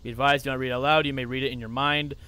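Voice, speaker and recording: A young man hosting a livestream, reading aloud and reacting in an excitable, theatrical, jokey way. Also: medium pitch, rapid-fire, smooth. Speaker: livestream host.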